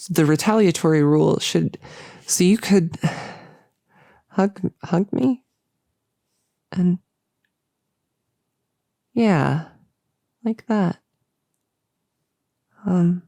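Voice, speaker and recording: Woman acting a flustered, soft, low-voiced cultist girl. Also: gravelly, no echo. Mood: timid, pleading. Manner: flustered, halting, stammering, rambling. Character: nervous, lonely, bossy-but-gentle female cultist demon summoner. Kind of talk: intimate roleplay audio drama, sleep-aid ASMR. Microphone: close, dry microphone.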